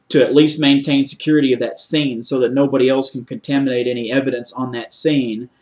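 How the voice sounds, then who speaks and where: A man with a mid-pitched voice speaks as a candidate in a formal job interview. He is measured and methodical, laying out his answers step by step. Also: darkish tone. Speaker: job candidate for a security officer post.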